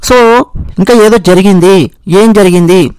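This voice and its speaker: A man's voice: sits high, a little slow, a little mellow, smooth, dry recording, poor audio. A man with a Telugu accent giving explanatory news commentary, restating and rephrasing his points as he goes.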